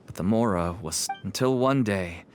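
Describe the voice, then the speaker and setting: Lowish, weary man playing a pleading merchant father. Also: animated, normal speed, slight background noise, natural voice. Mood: regretful confiding. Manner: earnest, confessional, pleading. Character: weary, pleading middle-aged merchant father turned smuggler. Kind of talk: scripted game dialogue. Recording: faint music behind the voice.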